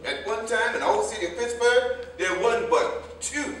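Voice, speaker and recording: A man's voice: extremely high pitch, moderate pace, a bit bright. A man in a staged play reading playing an older American tailor turned driver, a proud, hard-drinking, folksy storyteller who rambles. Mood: boastful. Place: indoor theater stage, recorded from afar. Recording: echoey stage, distant mic, faint noise.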